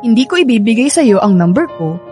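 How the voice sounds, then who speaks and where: A woman's voice: a little high, sing-song, brisk, mellow, soft background music. An actress playing a sassy, guarded young woman in a radio drama, teasing and brusque in manner. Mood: sarcastic, firm. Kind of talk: Filipino radio drama acting.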